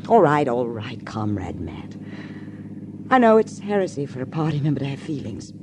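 An actress playing a seductive, forward party comrade in a 1950s radio drama, with a coy, flirtatious manner. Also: female voice, sing-song, moderate pace, heavily acted. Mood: wistful, seductive. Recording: noisy 1950s radio recording, background rumble.

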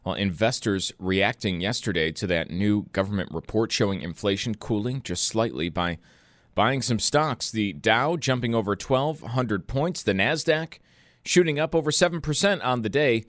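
A man with an American accent anchors a radio newscast in a fairly low voice. He reads scripted news copy in a studio, with a close, dry sound. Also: animated, normal speed, a bit bright, no room sound.

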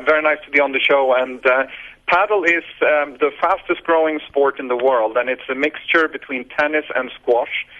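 A Swedish-accented man talks on a radio show, his voice sitting at an ordinary middle pitch. He pauses a lot and restarts his phrases. The audio comes through dull and muffled, like a phone line. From Sweden. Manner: hesitant, many fillers and restarts. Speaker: guest, sports businessman. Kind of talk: radio interview answers.

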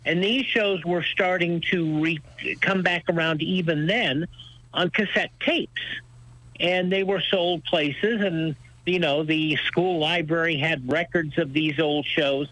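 An older American man with a fairly high voice talks as a guest in a radio interview. His delivery is conversational and folksy, with points stressed firmly. The sound is dry and close, with no room echo. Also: steady tone, slow, sharp tone. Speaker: radio show host being interviewed as guest.

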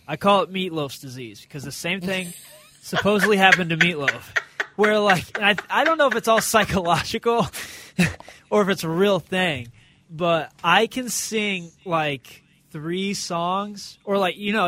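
A man's voice: high-pitched, unhurried, sharp tone. A man singer in a casual chat, rambling and correcting himself as he talks.

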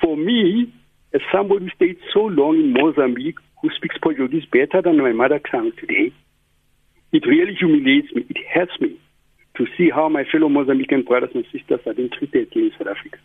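Earnest male radio pundit, husky, dry, phone-like sound. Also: on the high side, expressive, fairly quick, no room sound. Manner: earnest, preaching tone. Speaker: studio guest commentator. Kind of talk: radio talk commentary. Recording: tinny phone-like line.

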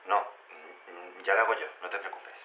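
On a narrow phone line, a low-voiced man in a radio comedy. He talks haltingly and awkwardly, with mild exasperation, as if leaving a voicemail. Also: little pitch movement, a little hiss or hum. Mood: mildly exasperated. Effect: phone filter.

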